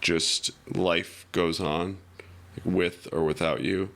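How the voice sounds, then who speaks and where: A man talking reflectively and casually as a podcast guest, in a halting, musing way with many fillers. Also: deep voice, fairly even intonation, gravelly, fairly bright tone.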